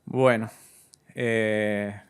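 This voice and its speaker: Smooth-voiced Colombian man, easygoing. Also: lowish voice, level tone. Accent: Colombian, from Cúcuta. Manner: easygoing, hesitant, many "eh" pauses. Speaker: guest DJ and entrepreneur. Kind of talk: relaxed interview answers.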